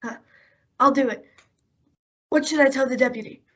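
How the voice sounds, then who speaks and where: Woman as high, bright, terse western sidekick. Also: moderate pace, breathy edge, lo-fi sound. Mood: loyal, businesslike. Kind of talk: old-time radio western acting.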